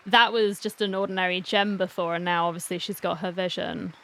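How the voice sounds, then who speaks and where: A woman's voice: on the low side, level tone, a little fast, sharp tone, slight background noise. Chatty woman reacting to a video game. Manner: chatty, talks back to the characters. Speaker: reaction streamer. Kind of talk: casual gameplay reaction commentary. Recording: faint game music behind.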